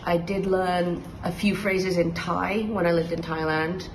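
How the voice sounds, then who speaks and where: A woman with a lowish voice talks in a chatty, self-deprecating way. She is recorded indoors, and steady room noise sits behind her voice. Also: unhurried, low-quality recording. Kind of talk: interview answer.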